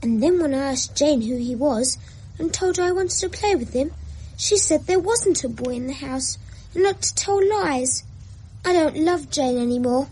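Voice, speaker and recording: A woman's voice: high voice, lively intonation, normal speed, darkish tone, rough recording. A woman voicing a lonely, imaginative young boy in a radio drama, talking in a plaintive, childlike way. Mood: pleading. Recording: old radio play with faint hiss.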